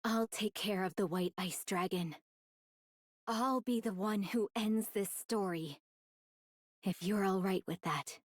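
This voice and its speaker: A woman's voice: medium pitch, slow, no echo, clean studio sound. A woman voicing a boastful young heroine in an English anime game dub, speaking in grand, dramatic declarations. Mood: solemn resolve. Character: theatrical, boastful young heroine who fancies herself a dragon slayer.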